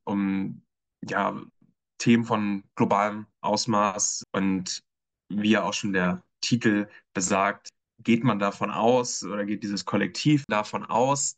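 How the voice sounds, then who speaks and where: A man in an editorial team giving a casual spoken review of a performance, hesitant and full of filler sounds. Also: lowish voice.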